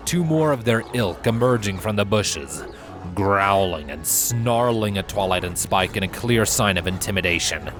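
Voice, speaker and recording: Low-voiced man narrating over music. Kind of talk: scripted story narration, fan fiction reading. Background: background music.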